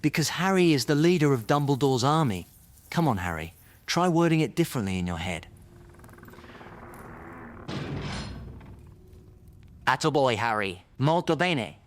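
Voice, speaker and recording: A man's voice: medium pitch, very animated, brisk, a little hiss or hum, lightly acted. A man playing a brilliant, troubled time-traveller in an audio drama. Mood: eager, encouraging.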